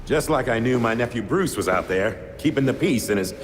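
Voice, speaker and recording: An actor playing a menacing, cultured older leader of a secret society in a game cutscene, measured, condescending and coolly threatening. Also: male voice, mid-range voice, animated, a little hiss or hum, natural voice. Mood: smug, composed. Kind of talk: dramatic video game cutscene acting. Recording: echoey hall with long reverb.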